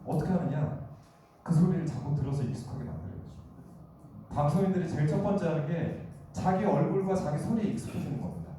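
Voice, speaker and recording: A man's voice: fairly high pitch, dark tone, far from the mic, a little hiss or hum. Man lecturing in an echoey hall. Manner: conversational, asks the audience questions. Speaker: guest lecturer. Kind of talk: lecture to an audience. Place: meeting hall.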